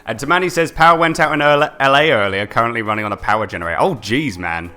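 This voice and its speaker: A young British man co-hosting a casual live gaming stream, chatty and quick to laugh, often repeating words. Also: mid-range voice, animated, bright, forceful tone, soft background music. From United Kingdom. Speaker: co-host.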